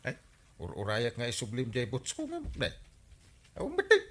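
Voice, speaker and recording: A man plays a fussy, complaining neighbor in a comic Ilocano radio drama. His bright, forceful voice leaps wildly up and down as he whines and exclaims in exasperation. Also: medium pitch, wildly swooping pitch, normal speed. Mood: impatient.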